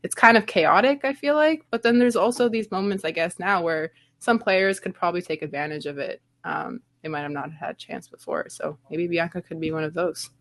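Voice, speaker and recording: A woman from Romania with a fairly low voice co-hosts a casual sports show. She is chatty and feels her way through sentences, often padding them with "like". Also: brisk, hardly any pauses, dry recording. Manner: chatty, hesitant phrasing with many "like"s.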